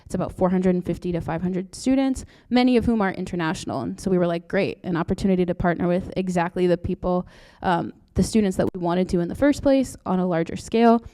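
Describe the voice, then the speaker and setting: A soft-spoken young woman from Brazil, a doctoral student giving an earnest presentation into a microphone in a lecture room. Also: fairly low pitch, fast talker, hardly any pauses. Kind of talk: conference presentation. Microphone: handheld or podium mic.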